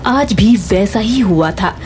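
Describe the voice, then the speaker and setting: A woman's voice: mid-range voice, lively intonation, slow, noisy background. A woman giving scripted, dramatic narration of an audio story as its narrator.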